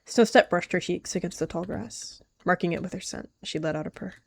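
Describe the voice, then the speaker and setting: A woman calmly narrating a roleplay story in a measured way. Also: fairly low pitch, gravelly, no room sound.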